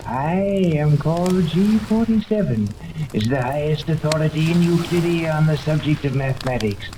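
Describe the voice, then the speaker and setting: An actor in a 1930s radio serial playing a cold, haughty scientist guide, precise and condescending. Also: male voice, a little high, lively intonation, moderate pace, close to an everyday voice. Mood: imperious. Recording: old radio recording with rain-like noise.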